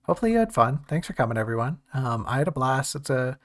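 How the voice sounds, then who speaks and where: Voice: medium pitch, very animated, fast talker. A game streamer chatting casually and jokingly with viewers during a let's-play. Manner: chatty, jokey, talks to chat.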